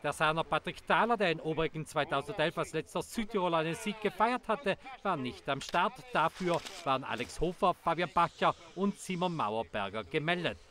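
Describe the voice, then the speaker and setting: A male sports reporter narrates a ski race report in a formal, measured voice-over. His voice sits a little higher than most men's. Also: animated, sharp tone. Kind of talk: scripted news narration.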